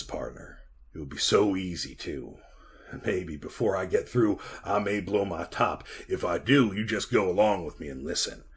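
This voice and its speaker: An actor playing a haunted, bitter man who narrates a retro radio drama in a brooding, deliberate way with dramatic pauses. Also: deep voice, vocal fry, breathy edge, heavily acted. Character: haunted, bitter man recounting being lost in a mine.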